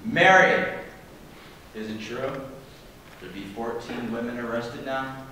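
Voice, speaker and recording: A man's voice: mid-range voice, slow, bright, forceful tone. A man acting a stern, exasperated master of the house in a period stage play, commanding and incredulous. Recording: echoey room, distant mic, faint noise.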